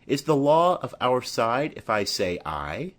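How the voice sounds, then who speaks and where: A man's voice: mid-range voice, very animated, unhurried, a bit bright. A man playing a belligerent young servant, cocky and taunting, in a Shakespearean audiobook.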